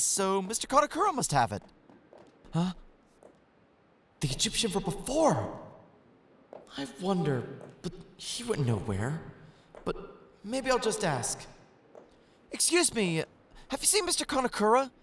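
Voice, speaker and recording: A man's voice: sits high, huge pitch swings, unhurried, long pauses, a bit bright, slight background noise, heavily acted. A man playing an innocent, friendly small teenage boy in an audio manga, with a guileless, exclaiming delivery. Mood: puzzled, curious. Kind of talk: animated audio manga acting.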